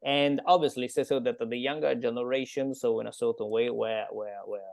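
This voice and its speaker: A man with an Italian accent speaking on a video call in a gravelly, middle-pitched voice. He talks haltingly, stacking up repeated words before each point. The sound is dry and close. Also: steady tone, a little mellow, dry recording. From Italy. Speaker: participant. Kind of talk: group discussion on a video call.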